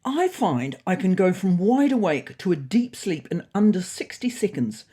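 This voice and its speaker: A woman's voice: on the low side, very animated, normal speed, warm tone, breathy edge, voice pushed up. A woman voicing a proud, pedantic male cat in a comic story, precise and pleased with himself like a salesman.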